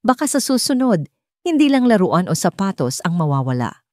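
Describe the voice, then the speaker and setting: A woman's voice: lowish voice, sing-song, normal speed, darkish tone, no room sound, clean studio sound. A woman voicing a scheming, venomous wealthy wife in an audio drama, switching between fake sweetness and menace. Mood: venomous, threatening. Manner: fake cheer turning to hissed threats.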